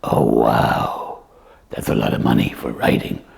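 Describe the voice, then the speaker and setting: Super low croaky puppet slipper, sleepy n grumbly, asks dumb blunt questions. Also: female voice, flat delivery, a little slow, darkish tone, exaggerated voice. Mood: curious, surprised. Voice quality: gravelly, croaky. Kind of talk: comic puppet show dialogue.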